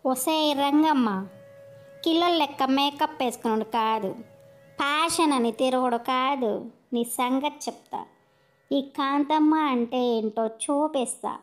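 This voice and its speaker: A woman's voice: high voice, measured pace. A woman voicing a domineering, quarrelsome village mother-in-law in a cartoon moral story. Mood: bossy.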